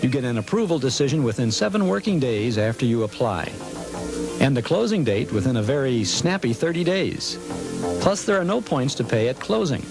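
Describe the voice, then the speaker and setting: A man's voice: mid-range voice, very animated, normal speed, vocal fry, over music. A man hosting and announcing on a local television program. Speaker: show host and announcer.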